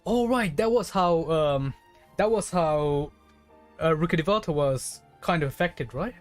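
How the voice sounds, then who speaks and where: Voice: mid-range voice, animated, measured pace, soft background music. A game streamer giving casual, chatty, playfully exasperated live commentary.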